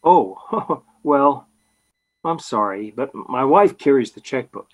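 An actor playing a meek, flustered, bumbling young husband in 1940s radio comedy, hesitant and apologetic. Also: male voice, mid-range voice, lively intonation, slow, highs cut off. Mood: sheepish. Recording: vintage radio broadcast.

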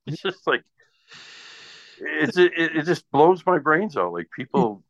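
A man's voice: a little high, big pitch swings, a little slow, a bit bright. A man with a Canadian accent chatting casually in blunt, profane, exasperated anecdotes. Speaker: radio presenter. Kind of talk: casual chat, ranting anecdotes. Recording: dull lo-fi audio in echoey room.

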